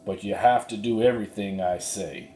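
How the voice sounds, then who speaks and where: A man voicing a tough but kindly storybook cowboy with folksy, drawn-out talk. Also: lowish voice, dark tone, slight background noise, close to an everyday voice. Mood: folksy, commanding. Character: plain-spoken, tough but kindly cowboy horse herder. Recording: roomy, echoey sound.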